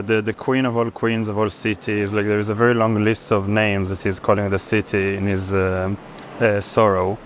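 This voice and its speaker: A man in his thirties with an Israeli accent, an artist thoughtfully explaining his work in an interview, often searching for words. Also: lowish voice. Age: 30s. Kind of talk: reflective explanation of own artwork. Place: busy art fair. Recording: clear urban background noise at fair.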